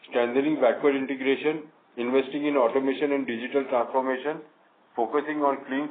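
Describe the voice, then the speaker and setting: A man with an Indian accent formally reading prepared remarks as a managing director on an earnings call, stumbling over some words. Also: mid-range voice. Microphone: conference call line. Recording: narrow phone-like line with faint noise.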